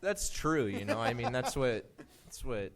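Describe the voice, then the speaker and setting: A man talking in awkward, halting bursts during comedy banter. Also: mid-range voice, sing-song, a loud crowd.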